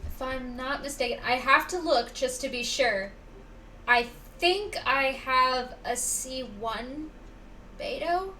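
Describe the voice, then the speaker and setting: A woman livestreaming a game, chatting casually to viewers in a halting, musing, informal way. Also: high voice, pushed and bright. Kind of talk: casual chat to stream viewers. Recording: slightly distant mic, faint background noise.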